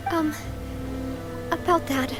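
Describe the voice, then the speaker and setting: A woman's voice: high voice, steady tone. A woman playing a composed, sincere young syndicate leader in a video game. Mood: sorrowful. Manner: poised, sincere, measured. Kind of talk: video game character acting. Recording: music behind the voice.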